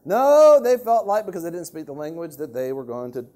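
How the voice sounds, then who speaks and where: A Southern American man with a high, dark-toned voice, telling a funny story. He is animated and chuckles as he goes. He sounds like a sermon speaker. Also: fairly quick. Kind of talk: humorous anecdote in a sermon.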